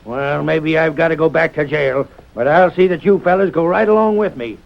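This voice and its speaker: Croaky, spiteful Western outlaw. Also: male voice, fairly high pitch, normal speed. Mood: bitter, threatening. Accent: American Western. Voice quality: gravelly. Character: vengeful betrayed outlaw, bitter and spiteful. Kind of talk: old-time radio western acting. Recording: muffled old radio broadcast.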